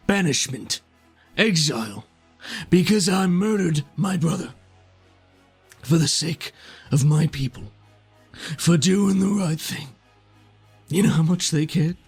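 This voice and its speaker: Bitter male exile played by a man, guilt-ridden and brooding, husky slightly high voice, pointed lines, tabletop drama over music. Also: slow, long pauses, full character voice. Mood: cold fury. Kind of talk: improvised tabletop roleplay dialogue.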